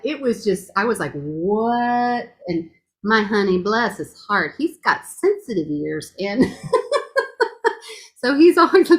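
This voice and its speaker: A woman talks in a casual, gushing testimonial. Her voice is strong. She laughs often and keeps the talk chatty. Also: on the high side. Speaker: guest giving a testimonial.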